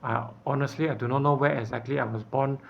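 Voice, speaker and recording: A man from Malaysia, speaking in an earnest, halting way in an unscripted personal appeal, often stopping to search for words. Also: mid-range voice, steady tone, gravelly, dull audio, slight background noise.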